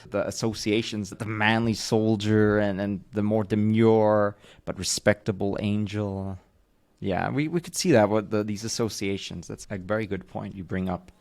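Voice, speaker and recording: A man in his thirties from the United States talks on a podcast in a measured, reflective way, often stopping to rephrase himself. His voice sits fairly low, with a noticeable gravelly fry running through it. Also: flat delivery, a little mellow. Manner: measured, thoughtful storytelling with careful rephrasing. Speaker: podcast co-host.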